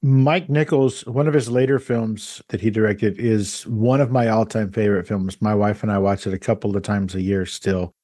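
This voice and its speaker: A man's voice: fairly low pitch, steady tone, moderate pace, hardly any pauses, mellow. A man hosting a podcast, asking questions and chatting casually as interviewer.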